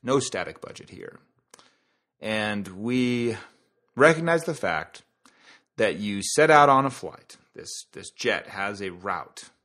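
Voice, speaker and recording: A man's voice: on the low side, expressive, slow pace, a bit bright. A man hosting a podcast in a casual, conversational monologue, explaining things through analogies and asides. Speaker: podcast host.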